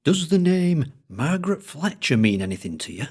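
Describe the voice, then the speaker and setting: A man voicing a secretive older collector with a thick Lancashire accent, speaking in a slow, droning way with dialect grammar. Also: on the high side, sing-song, breathy edge, pitched above the performer's own voice. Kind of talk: audiobook character voice.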